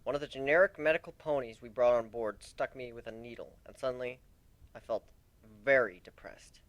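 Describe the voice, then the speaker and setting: A man's voice: mid-range voice, brisk, fairly bright tone, no echo, rough recording. A man reading scripted first-person story narration in a dramatic, brooding manner.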